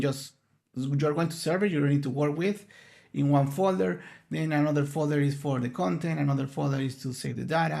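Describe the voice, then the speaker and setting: A man's voice: a little high, gravelly, darkish tone. A man whose first language is Spanish, giving an online conference presentation in an earnest, explanatory way, often searching for words. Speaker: conference speaker.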